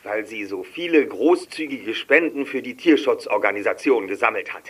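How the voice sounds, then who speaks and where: A man's voice: medium pitch, lively intonation, moderate pace, fairly bright tone, slightly rough, recorded from a distance. An actor playing a ceremonious official in a German audio drama, speaking formally as he hands over gifts.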